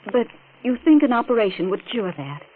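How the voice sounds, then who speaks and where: Worried devoted wife, pleading melodramatic delivery, old-time radio thriller, phone-like sound with faint noise. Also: female voice, fairly high pitch, very animated, fairly quick. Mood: subdued. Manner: earnest, pleading, theatrical. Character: anxious, devoted wife of a blinded scientist. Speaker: radio drama actor. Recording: tinny, noisy vintage radio recording.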